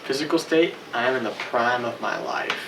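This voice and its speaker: A man's voice: mid-range voice, normal speed. 20 yr old guy, cocky and playful, roomy far-off mic. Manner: playful, boastful. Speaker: study participant. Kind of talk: casual on-camera interview. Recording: echoey room, distant mic, noisy.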